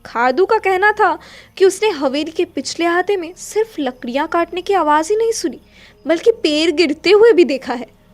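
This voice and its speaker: A woman with a high voice narrates a dramatic literary tale. She reads as a storyteller in an audio play, with faint music playing underneath. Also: expressive, moderate pace.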